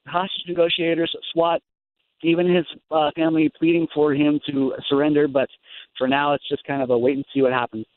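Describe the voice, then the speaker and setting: Male American radio reporter, slightly high-pitched, live breaking-news hits over a thin phone line, unscripted and halting. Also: dry recording.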